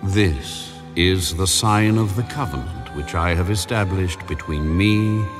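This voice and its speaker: Slow, deep, solemn voice of God. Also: male voice, over music. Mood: solemn decree. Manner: solemn, declamatory, stately. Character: God, solemn commanding male deity proclaiming a covenant. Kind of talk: dramatized scripture reading.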